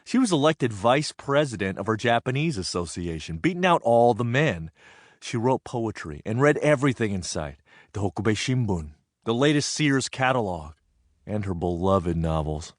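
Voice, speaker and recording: A man's voice: lowish voice, dry recording, studio quality. An American actor playing an earnest, reflective Japanese American man recalling his farm childhood in a dramatic audio theatre monologue. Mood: fond, nostalgic. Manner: expressive, deliberate storytelling with weighted pauses.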